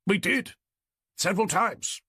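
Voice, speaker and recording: A man's voice: high voice, animated, unhurried, pushed and bright, husky, no room sound, clean studio sound, pitched above the performer's own voice, exaggerated voice. A man voicing an indignant, pompous middle-aged neighbour in an audiobook, with a clipped British accent. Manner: pompous, exasperated.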